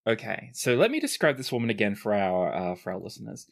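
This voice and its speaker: A man running a tabletop role-playing game, describing the scene casually with filler pauses. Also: lowish voice, sing-song, creaky. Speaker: game master.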